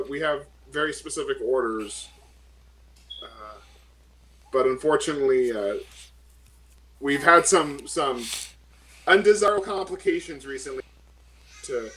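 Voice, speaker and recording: A man playing a professional, diplomatic female marshal in a tabletop game, speaking formally and courteously with halting phrasing. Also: medium pitch, fairly bright tone, natural voice, poor audio. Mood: formal, apologetic.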